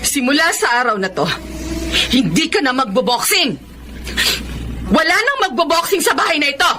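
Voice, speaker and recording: A woman's voice: sits high, very animated, moderate pace. An actress playing an anxious, protective mother, melodramatic and emotional, in a Filipino radio drama. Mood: stern, angry. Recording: noisy background ambience.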